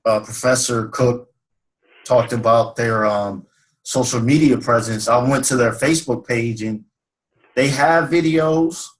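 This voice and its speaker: A man's voice: mid-range voice, unhurried, rough recording. A young man leading a video call meeting as team leader, casual and filler-heavy. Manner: casual, many fillers and agreeing murmurs.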